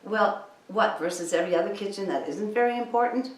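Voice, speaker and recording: A woman's voice: medium pitch, a little fast. A woman giving an instructional training lecture in an emphatic, insistent way, often posing rhetorical questions. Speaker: cleaning business trainer. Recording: echoey room, distant mic, background noise.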